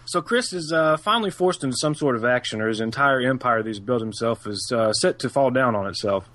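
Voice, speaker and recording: A man with a flat, monotone delivery walks through a film's plot on a casual podcast. His pitch sits in the middle for a man, and he talks in an even, unhurried way with small fillers. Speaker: podcast co-host giving film synopsis.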